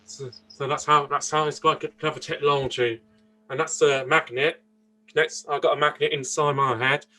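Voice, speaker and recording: A man's voice: on the high side, little pitch movement, moderate pace, bright, forceful tone. A young man in his twenties from Austria, answering interview questions earnestly, often restarting and searching for words. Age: 20s. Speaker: interview guest. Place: indoors, seated interview.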